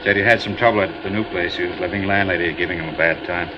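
A talkative ship captain, voiced by a man, rambles on, hesitant and friendly, in a 1950s radio drama. He talks quickly at a middle pitch. The broadcast sounds muffled and carries a loud hiss. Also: steady tone, natural voice.

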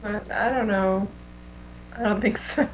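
A woman's voice: medium pitch, fairly even intonation, a little slow, a little hiss or hum, poor audio. A woman co-hosting a podcast in a casual, conversational way. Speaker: podcast co-host. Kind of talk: casual chat.

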